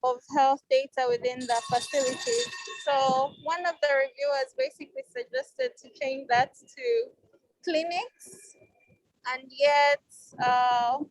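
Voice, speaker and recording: A woman's voice: high-pitched, no echo, low-quality recording. A woman on a video call reporting reviewer comments on her articles, hesitant with frequent fillers. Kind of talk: casual meeting report.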